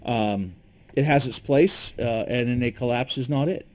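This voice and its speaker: Middle-aged man, Michigan accent, warm mellow tone, relaxed matter-of-fact gear talk with frequent fillers. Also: medium pitch, a little slow. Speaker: preparedness gear reviewer. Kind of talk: casual gear demonstration.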